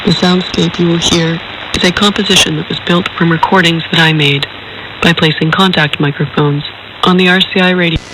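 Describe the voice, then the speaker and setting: Man, scripted narration, slightly high voice, measured and explanatory, hissy shortwave reception. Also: little pitch movement. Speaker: narrator introducing a documentary.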